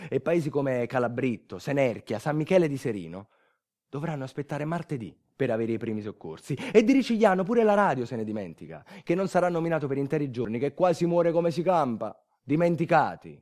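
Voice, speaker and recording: Expressive southern Italian man telling a dramatic story. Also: medium pitch, fairly quick. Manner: colloquial, emphatic, urgent. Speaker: solo stage actor narrating a monologue. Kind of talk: dramatic theatrical storytelling, radio monologue.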